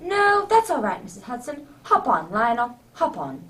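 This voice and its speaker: A woman playing a genial English gentleman doctor who acts as Santa Claus in an amateur radio drama. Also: fairly high pitch, huge pitch swings, moderate pace. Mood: jolly, flustered. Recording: distant microphone, background noise.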